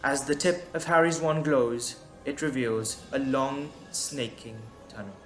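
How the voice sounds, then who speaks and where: A man reading scripted dramatic narration in a deliberate, suspenseful manner. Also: medium pitch, a bit bright. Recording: echoey, music underneath. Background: music.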